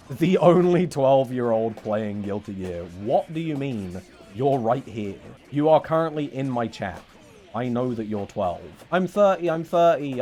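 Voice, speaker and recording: A man's voice: medium pitch, darkish tone. A man streaming a fighting game and chatting casually with his chat, joking and sarcastic in a rambling way. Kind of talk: casual live-stream chat while playing.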